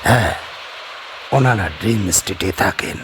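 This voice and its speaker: A man's voice: lowish voice, normal speed, a little hiss or hum, natural voice. A man acting as a polite, widowed guest-house owner living alone on an island, in an audio drama. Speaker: D'Souza.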